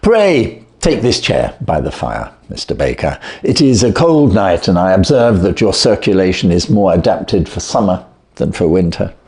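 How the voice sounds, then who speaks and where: Guy reading brisk victorian detective for an audiobook, very animated, commanding, precise, sure of himself. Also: sits low. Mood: assured, genial. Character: brisk, clever, self-assured Victorian gentleman detective.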